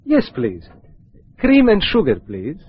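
A man's voice: high voice, wildly swooping pitch, slow pace, breathy edge, no room sound. A man playing a polite visiting businessman in a scripted course dialogue. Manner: polite, formal replies. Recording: dull lo-fi dry tape recording.

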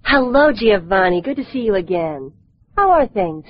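Woman making introductions, lively voice. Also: mid-range voice, normal speed, no room sound. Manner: friendly hosting, making introductions. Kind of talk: scripted language-course dialogue. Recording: dull lo-fi dry tape recording.

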